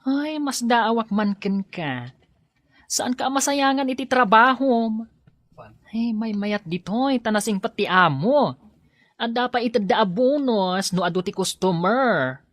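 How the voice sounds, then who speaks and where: A woman's voice: fairly high pitch, animated, unhurried, no room sound. A woman playing a frank, teasing workmate in an Ilocano audio drama, full of exclamations. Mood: nagging. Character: frank, nosy, teasing female workmate and friend.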